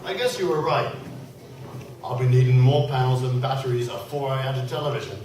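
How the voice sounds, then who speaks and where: A man's voice: mid-range voice, a little fast, background noise, lightly acted. A man playing a friendly, plain-spoken village innkeeper in a live radio play. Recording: echoey hall from far off.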